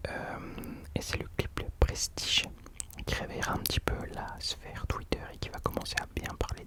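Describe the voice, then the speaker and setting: A man hosting ASMR in an unvoiced whisper, chatting informally and hesitantly, correcting himself as he goes. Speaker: ASMR host. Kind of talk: whispered ASMR chat about rappers.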